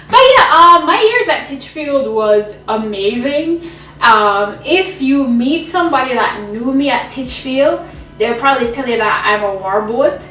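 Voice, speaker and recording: A woman's voice: high voice, animated, a little slow, pushed and bright, distant mic. Chatty woman from Jamaica, echoey kitchen. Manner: chatty, rambling, laughs often. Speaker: vlogger being interviewed. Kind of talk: casual chat while cooking. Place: home kitchen.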